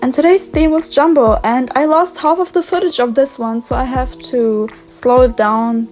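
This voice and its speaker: High-voiced young woman with German accent. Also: moderate pace, darkish tone, faint music behind. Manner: bubbly, rambling, giggly. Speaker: art vlogger. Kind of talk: casual voice-over narration.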